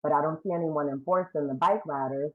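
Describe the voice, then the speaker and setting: A middle-aged woman with a deep, low voice, speaking during public comment at a community meeting. She talks bluntly and emphatically over a video call. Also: fairly quick, narrow, tinny audio. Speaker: community member asking questions.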